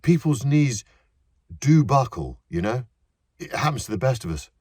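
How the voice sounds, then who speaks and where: A man voicing a self-important middle-aged male stage actor who talks in fussy, halting bursts. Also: medium pitch, very animated, darkish tone, husky, dry recording, clean studio sound, voice pushed up.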